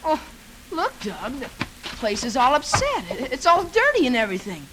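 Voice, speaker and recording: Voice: sits high, huge pitch swings, moderate pace. A performer playing an eager, nosy young boy in an old radio drama, colloquial and pushy. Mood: curious. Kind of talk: 1940s radio acting. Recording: noisy old radio recording.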